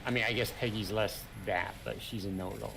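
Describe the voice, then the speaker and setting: A man in relaxed co-op gameplay chat, agreeable and easygoing, giving short replies. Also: fairly low pitch, brisk, gravelly, background noise. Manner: laid-back, agreeable, short replies and laughs. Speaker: co-op game player.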